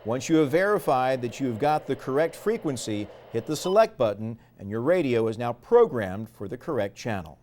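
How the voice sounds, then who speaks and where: A man's voice: mid-range voice, sing-song, normal speed, hardly any pauses, a little mellow, a little hiss or hum. A man narrating a product tutorial step by step in a methodical, explanatory way. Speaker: instructional presenter.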